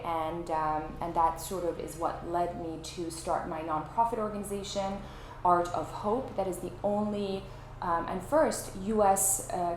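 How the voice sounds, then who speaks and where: A woman from Iran, a journalist, talking as a guest in her home, thoughtful, with many "you know" and "um" fillers. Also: on the low side. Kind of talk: casual interview conversation. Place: home flat, indoors. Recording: echoey room with faint noise.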